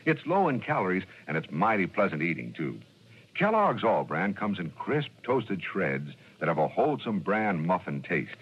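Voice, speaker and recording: A man announcing a commercial in the style of a friendly 1960s radio sales pitch. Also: sing-song, moderate pace, breathy edge. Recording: dull, faintly noisy old radio recording.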